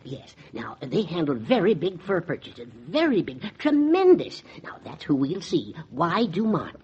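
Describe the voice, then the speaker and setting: A nervous little merchant with a high voice for a man, leaping about in pitch. He stammers, fusses and repeats himself in 1940s radio style, on a dull recording with faint noise. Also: huge pitch swings, a little slow, a little mellow, heavily acted. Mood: eager, excited. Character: timid, flustered, excitable little fur dealer.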